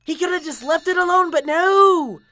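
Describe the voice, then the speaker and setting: A woman's voice: extremely high pitch, moderate pace, pushed and bright. A woman voicing an irritable, ranting young pony in a parody cartoon, sarcastic and exasperated.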